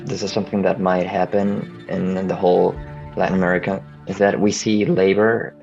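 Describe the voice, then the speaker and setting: A man speaks in a low, gravelly voice, hesitating and restarting his phrases as he talks over a video call. The audio is dull and lo-fi, with soft music underneath. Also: flat delivery, moderate pace. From Venezuela. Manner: hesitant, frequent restarts and stammers. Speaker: video editor and freelancer. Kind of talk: casual video call conversation.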